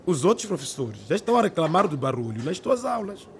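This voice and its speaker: A resigned, seasoned schoolteacher in a radio drama. He talks down to a colleague in a familiar way, with a lively, slightly high voice over faint noise. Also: quick pace. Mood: amused, advising. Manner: chuckling, patronising, familiar. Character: worldly, resigned older male teacher colleague.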